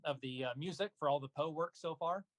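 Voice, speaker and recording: A man's voice: fairly high pitch, a little fast, no room sound. Man talking in a dry, close sound. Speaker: guest, voice actor and audio drama producer. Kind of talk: casual interview talk about own projects.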